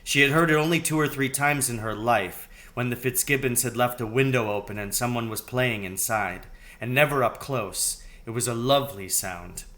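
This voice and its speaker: A man reading a children's novel aloud in a storybook narration style, with measured, deliberate phrasing. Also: medium pitch, bright, forceful tone. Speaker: reader, teacher.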